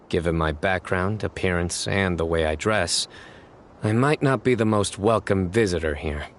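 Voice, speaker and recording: A stern, reserved officer of justice in a video game. The voice is deep and measured, with clipped deadpan lines and a faint bed of ambience behind it. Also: male voice, slight background noise. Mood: guarded. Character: stoic, stern, reserved male desert judicial officer.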